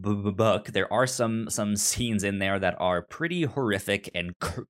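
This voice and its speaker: Man, lowish pitch, podcast co-host, casual chat, halting, stuttered repetitions, informal. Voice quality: stutters, repeating syllables and words. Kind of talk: casual book and film discussion.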